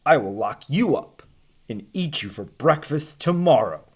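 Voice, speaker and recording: A man voicing a grumbling, hungry giant in a storybook, loud and slow. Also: mid-range voice, very animated, slightly rough, exaggerated voice. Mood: grumbling, threatening.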